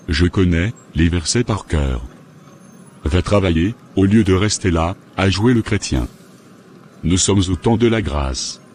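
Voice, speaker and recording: Deep-voiced straying husband in a cartoon played by a man, stubborn, faint noise. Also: a little mellow. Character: unfaithful married churchgoer.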